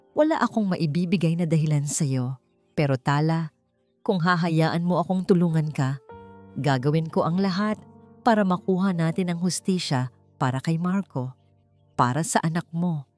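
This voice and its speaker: A woman voicing a gentle, remorseful man in an audio love story, speaking softly and solemnly. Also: low voice, natural voice. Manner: soft-spoken, solemn.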